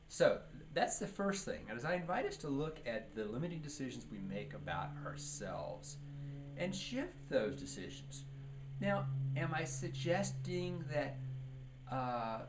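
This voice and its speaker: A man giving a leadership training lecture, teaching by posing questions and answering them, with emphasis on key words. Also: medium pitch. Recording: clearly noisy recording.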